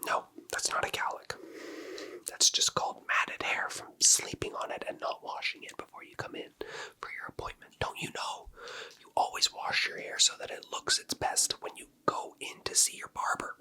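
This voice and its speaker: A very bright, sibilant whisper, right up close to the microphone. The speaker plays a barber in an ASMR roleplay, murmuring slowly with a soft, teasing manner and plenty of breath. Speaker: ASMR creator playing a barber in a haircut roleplay.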